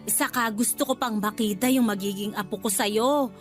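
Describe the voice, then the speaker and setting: An actress playing a caring, reassuring elderly nanny in a radio drama. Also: female voice, medium pitch, normal speed, soft background music, lightly acted. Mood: soothing, reassuring. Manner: gentle, reassuring, motherly. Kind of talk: Tagalog radio drama acting.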